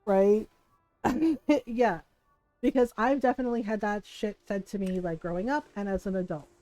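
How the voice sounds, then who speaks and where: Mellow-voiced, candid woman streamer over music. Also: medium pitch, normal speed, no echo, low-quality recording. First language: grew up speaking Tagalog, English and Spanish. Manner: candid, sweary, animated storytelling with frequent chuckles. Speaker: live streamer. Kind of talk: casual chatty game stream.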